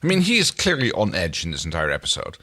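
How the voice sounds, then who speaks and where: A man co-hosting a podcast, chatting casually about a TV episode in a low-key, agreeable way. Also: huge pitch swings, fast, sharp tone. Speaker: podcast co-host. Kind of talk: casual TV episode recap chat.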